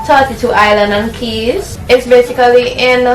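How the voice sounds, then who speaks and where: A woman's voice: fairly high pitch, moderate pace. A young woman with a Vincentian accent, interviewing a guest over a casual call. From Saint Vincent and the Grenadines. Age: young adult. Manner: relaxed, chatty, asks questions plainly. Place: at work. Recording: echoey room, distant from mic, voices around.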